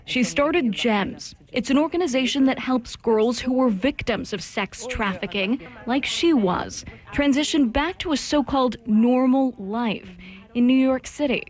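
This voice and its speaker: A woman reporter narrating a news package in a deliberate, scripted voice-over. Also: high voice. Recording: voice-over over loud music.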